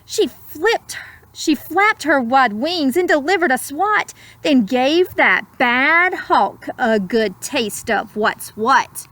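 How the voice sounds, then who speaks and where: High-voiced woman reading slowly to children. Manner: expressive, dramatic. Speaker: storybook reader. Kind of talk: children's read-aloud with simple explanatory asides.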